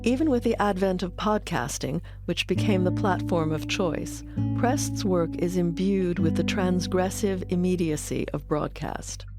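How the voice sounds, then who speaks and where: A woman's voice: fairly low pitch, measured pace, hardly any pauses, over loud music. A woman reading scripted documentary narration as a voice-over.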